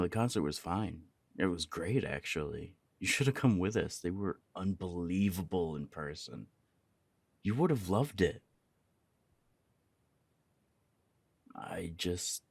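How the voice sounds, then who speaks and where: A man's voice: low-pitched, gravelly, darkish tone, dry recording, exaggerated voice. A young man playing an easygoing, affectionate punk roommate in an intimate ASMR roleplay, chatting casually and teasingly. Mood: casual, deflecting. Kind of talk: intimate ASMR roleplay, one side of a conversation.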